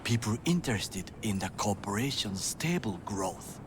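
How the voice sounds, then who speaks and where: An actor playing a stern, formal male corporate bodyguard who speaks in clipped, measured sentences and drops into quiet whispers. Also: fairly low pitch, lively intonation. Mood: guarded. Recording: game audio with clear background noise.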